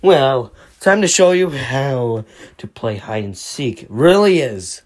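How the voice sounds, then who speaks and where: A performer doing a menacing cartoon stork mascot, swooping pitch, unhurried, theatrical, falsely soothing, manic. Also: lively intonation, darkish tone. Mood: announcing, sinister. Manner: theatrical, drawn-out, falsely reassuring. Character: manic, menacing stork mascot luring late-night visitors. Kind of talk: animated horror character voice lines.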